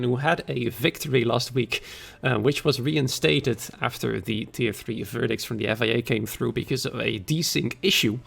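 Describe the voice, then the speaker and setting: A man commentating a sim racing league stream in a chatty way, his voice hoarse and raspy after an illness. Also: medium pitch. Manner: chatty, conversational, greets viewers by name. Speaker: race commentator and league host.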